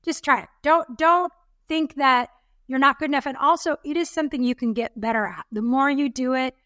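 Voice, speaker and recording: A woman's voice: high voice, fairly quick, fairly bright tone, dry recording, studio quality. A woman in her fifties co-hosting a podcast, chatting casually and encouraging listeners. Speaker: podcast co-host. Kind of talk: casual chat.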